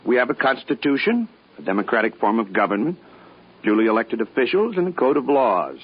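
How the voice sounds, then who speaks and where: An actor playing an earnest, polite and persistent American self-styled diplomat, speaking formally and persuasively in a 1950s radio drama. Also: male voice, mid-range voice, big pitch swings, a little fast, husky. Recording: dull vintage radio recording.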